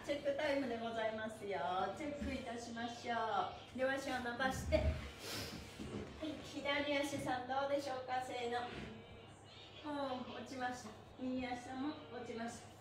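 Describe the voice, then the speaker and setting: A high-voiced middle-aged woman leads an exercise class with animated, encouraging calls and chants. Her phrasing is playful and polite. She is recorded at a distance in an echoey room, with some noise behind her. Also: normal speed. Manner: cheerful, encouraging, playful polite phrasing with honorifics for body parts; animated, calls out while moving through exercises. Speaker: stretch class instructor. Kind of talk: upbeat exercise instruction with counted chants. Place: small bare indoor room with mat. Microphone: camera a few metres away.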